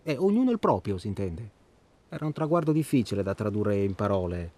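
An actor in a radio drama playing an irritable, bitter married man, halting and repetitive, swearing as he talks in a naturalistic way. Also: lowish voice, sing-song, mellow. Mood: exasperated, wry. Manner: profane, halting, repeats himself.

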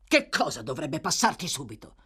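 A woman's voice: low voice, expressive, normal speed, breathy edge. Woman voicing a growling, brutal, irascible male thief. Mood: angry, scornful. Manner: barking, impatient, menacing. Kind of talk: audiobook character voice.

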